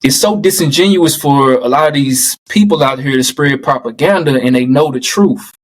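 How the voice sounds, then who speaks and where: Man, podcast guest, vegan coach, earnest, emphatic, middle pitch. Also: moderate pace. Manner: earnest, emphatic, repeats himself for stress. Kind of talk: casual podcast conversation.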